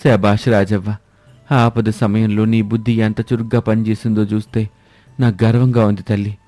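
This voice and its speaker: A proud, supportive village man acted by a man in an animated moral tale. His voice is low, dark and mellow, earnest and emphatic, recorded dry. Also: normal speed, no echo, soft background music, close to an everyday voice. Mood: admiring, proud. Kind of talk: animated moral story dialogue.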